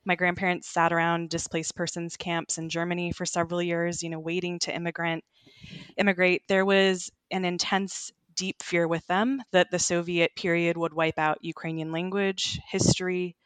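A woman's voice: on the low side, level tone, moderate pace, clean tone, dry recording. A woman, a journalist guest talking earnestly and reflectively in a podcast conversation. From United States.

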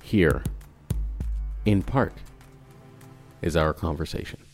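A man's voice: low voice, slow, soft background music. A man hosting a podcast, introducing the episode and asking his guest curious, prompting questions. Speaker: podcast host. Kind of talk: casual interview and scripted intro.

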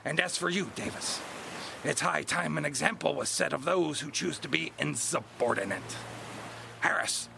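An actor playing a tyrannical, short-tempered sailing captain who barks threats and curses in a seafaring audio drama. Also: male voice, on the high side, lively intonation, normal speed, gravelly, background noise. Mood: furious. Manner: barking, cursing, threatening commands.

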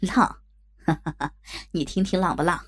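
A woman's voice: sits low, expressive, a little fast, husky, voice pushed down, exaggerated voice. A woman voicing a smug, jovial male boss who talks in a casual, commanding, colloquial way.

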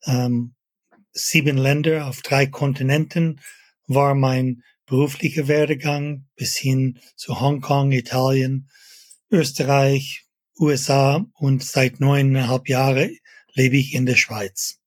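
Older guest from Canada; husky, a touch high, halting and hesitant, dry room. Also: male voice, level tone, no room sound. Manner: halting, with many fillers and pauses. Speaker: interview guest, fitness industry veteran. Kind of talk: casual interview talk.

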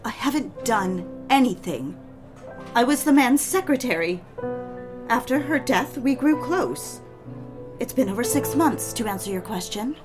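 Actress as fragile, high-voiced young wife, music underneath. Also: female voice, lively intonation, unhurried. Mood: hurt, accusing. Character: needy, defiant newly married daughter, emotionally fragile. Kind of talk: dramatic audio fiction with first-person narration.

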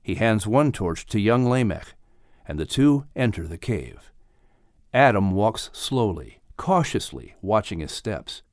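Male narrator, expressive storytelling, lively mid-range voice, dry close sound. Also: on the low side, measured pace, no echo. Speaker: audiobook narrator.

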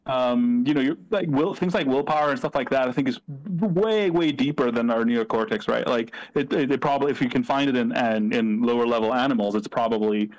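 A man's voice: medium pitch, fairly quick, few pauses, darkish tone, poor audio. Hesitant man. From Greece. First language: Greek. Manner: hesitant, many fillers and restarts. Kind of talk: casual discussion.

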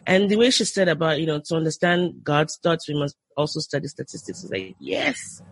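A woman's voice: low-pitched, very animated, brisk, husky, dry recording. A Nigerian woman with a Nigerian accent, a podcast guest talking eagerly in casual chat, often restarting her sentences. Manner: enthusiastic, with frequent restarts.

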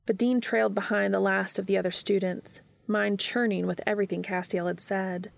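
A woman's voice: medium pitch, fairly even intonation, a little fast, vocal fry, no echo. A woman reading a story aloud as scripted narration. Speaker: fan fiction reader.

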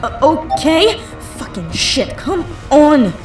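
A woman's voice: sits high, sing-song, slow pace, heavily acted. A woman playing a wry, casual young hacker on a heist in an audio drama. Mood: flustered, panicky. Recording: roomy echo, music and sound effects underneath.

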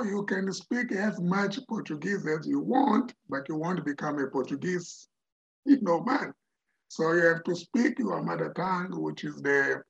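A man's voice: on the high side, creaky, muffled recording. An older man from Mozambique, a linguist whose first language is Tsonga, answering interview questions thoughtfully and repeating words as he thinks. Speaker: linguist guest.

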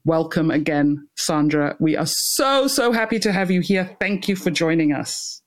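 A woman's voice: deep voice, animated, normal speed. A woman working as a podcast host, introducing and questioning a guest.

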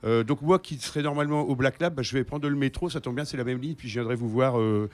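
A man's voice: mid-range voice, quick pace, no room sound. A man from northern France co-hosting a radio show, rambling along and poking fun at himself. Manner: rambling, self-mocking, animated. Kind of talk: casual radio chat. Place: radio studio.

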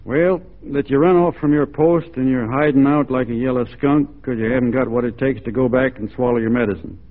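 Actor as an easygoing cowboy, thin radio sound. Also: male voice, medium pitch, narrow, tinny audio, lightly acted. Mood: steady, frank. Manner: folksy, unhurried, plain. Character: easygoing, plain-spoken, steadfast Texan cowboy. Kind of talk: 1940s radio drama acting. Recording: thin band-limited 1940s radio recording.

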